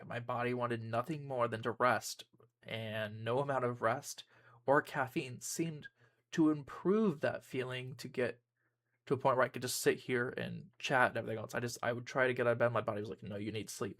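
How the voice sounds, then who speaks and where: A man's voice: mid-range voice, a bit bright. A young man, a YouTuber and streamer, giving a casual personal update to his viewers, rambling with frequent ums and restarts.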